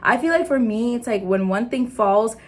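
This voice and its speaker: A young woman with an American accent, born in Liberia and raised in the States, talking to camera in an earnest, animated motivational vlog. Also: moderate pace. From Liberia, raised in the United States. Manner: earnest and animated, laughing, jumping into high excited bits. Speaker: content creator. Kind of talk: casual motivational vlog talk.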